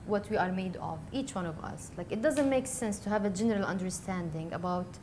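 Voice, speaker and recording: A woman's voice: animated, quick pace. A woman introducing a group session informally as its host, explaining things in short phrases. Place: room in a home. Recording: faint rumbling room noise.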